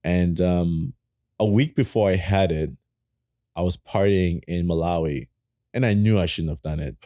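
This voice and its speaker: A man in his thirties, a native German speaker, telling wild travel stories as a podcast guest with lots of laughter and cursing, in a small studio on a boom mic. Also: normal speed, no room sound. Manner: animated, laughing, swearing freely. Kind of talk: casual storytelling in a podcast. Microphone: boom mic on a stand.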